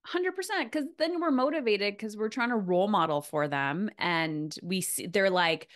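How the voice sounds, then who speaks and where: A woman's voice: mid-range voice, animated, normal speed, a bit bright. A woman hosting a casual interview in a motivational coaching style, emphatic and repeating phrases for weight. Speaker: podcast host, coach.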